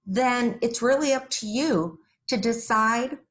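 A woman in her forties hosting a conversational session from home, speaking reflectively in measured, halting phrases. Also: fairly high pitch, fairly bright tone. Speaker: session host. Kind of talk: conversational interview on a video call. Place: room at home, indoors.

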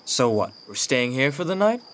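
A man's voice: medium pitch, very animated, normal speed, a bit bright. A man playing a weary traveler in a radio drama, speaking in a casual, everyday way. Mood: annoyed. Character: weary, polite male traveler.

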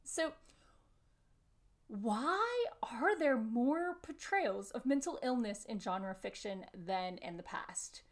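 A woman in her thirties giving an explanatory talk to camera at home, with deliberate phrasing. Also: fairly high pitch, expressive. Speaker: vlogger presenting panel notes. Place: small furnished room at home, indoors.

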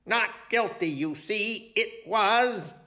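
Man as an indignant, shrill storybook animal. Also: slow pace, sharp tone, heavily acted. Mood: indignant protest. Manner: protesting, theatrical. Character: indignant accused woodland animal pleading innocence. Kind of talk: children's storybook character voice.

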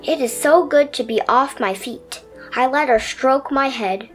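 A little pet turtle from a picture book, played by a woman reader, tells its own adventure in a high voice. It sounds earnest, dreamy and easily amazed, with expressive storybook pacing over soft music. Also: a little slow. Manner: expressive storybook first-person telling.

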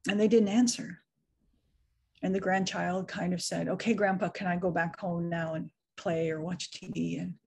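Husky-voiced woman telling a story on a call. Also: on the low side, darkish tone. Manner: reflective storytelling, frequent restarts. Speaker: guest speaker. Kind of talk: video call conversation. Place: home room, indoors. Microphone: video call webcam.

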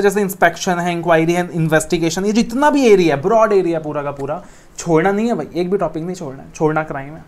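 A man in his thirties with an Indian accent, giving a recorded classroom lecture on exam law. He talks in a coaxing, motivational way and mixes in English phrases. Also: sits high. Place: indoor studio room with a whiteboard screen.

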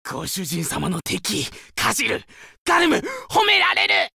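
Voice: mid-range voice, wildly swooping pitch, a little slow, creaky, bright, forceful tone, husky, dry recording, raised voice, studio quality, full character voice. An actor playing an eager, childlike, fiercely loyal wolf guard dog in an anime game, speaking in simple, exclaimed phrases. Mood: menacing, eager. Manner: simple broken childlike speech, exclaiming, needy for praise. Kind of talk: anime game character voice lines.

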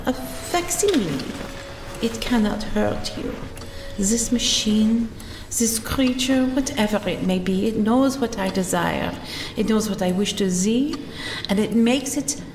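A woman's voice: medium pitch, lively intonation, a little hiss or hum. A woman with a French accent playing a haughty, secretive lady of the house in an audio drama, speaking stiffly and formally. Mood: intent. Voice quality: stiff, formal, slow and cold. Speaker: Madame Alaire. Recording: echoey room with music behind.

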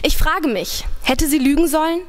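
A young woman on a large dark stage, speaking into a stand mic at a poetry slam: chatty and halting at first, then reading her text in an earnest, ironic tone. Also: high-pitched. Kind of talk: spoken word performance.

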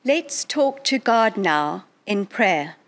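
A middle-aged woman reading scripture and prayers aloud in a measured, solemn way. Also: mid-range voice, big pitch swings. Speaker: prayer leader in church service. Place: indoors in a chapel, at a lectern. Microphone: gooseneck lectern microphone.

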